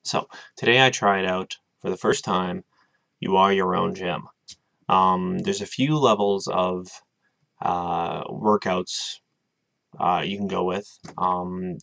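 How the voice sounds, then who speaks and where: Young male vlogger, twenties, hesitant and full of ums, very low voice, dry close sound. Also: gravelly, fairly bright tone, dry recording. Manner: rambling, hesitant, many ums. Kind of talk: casual video diary. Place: small room with bookshelves, indoors.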